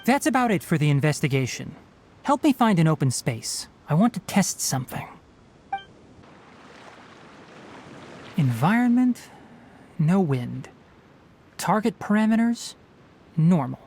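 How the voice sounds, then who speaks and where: A man voicing a measured, analytical young forest ranger in a video game. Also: a little high, very animated, a little mellow, slight background noise. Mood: focused.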